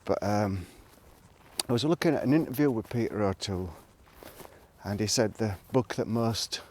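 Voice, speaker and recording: A man with a fairly low voice speaks in a casual, reflective vlog style. He muses and rambles, halting and trailing off between thoughts. Faint noise and clicks sit behind his voice. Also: darkish tone. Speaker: vlogger. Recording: noticeable background noise, clicks.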